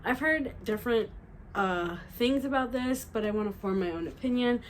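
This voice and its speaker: A young woman with a slightly nasal voice from a cold, chatting excitedly through a book haul with fillers and restarts. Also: fairly high pitch, moderate pace, a little hiss or hum. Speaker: book vlogger. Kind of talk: casual book haul chat.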